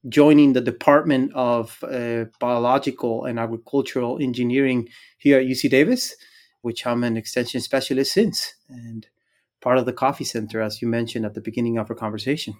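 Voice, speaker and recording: A man's voice: mid-range voice, steady tone, a little fast, a little mellow. A middle-aged man from Guatemala with a Guatemalan Spanish accent, answering interview questions conversationally as an academic, in stop-and-start phrasing. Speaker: interview guest, academic engineer and extension specialist.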